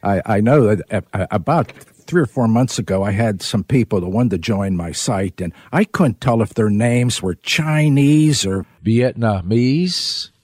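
A man's voice: fairly low pitch, unhurried, a little mellow, breathy edge, dry recording, clean studio sound. A man hosting a casual interview, chatty and chuckling as he introduces and questions his guest. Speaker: host.

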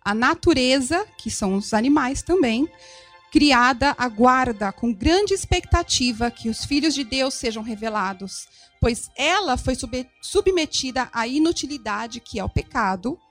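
High-voiced Brazilian woman interpreting a sermon. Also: lively intonation, unhurried, faint music behind. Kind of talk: live consecutive interpretation of a sermon.

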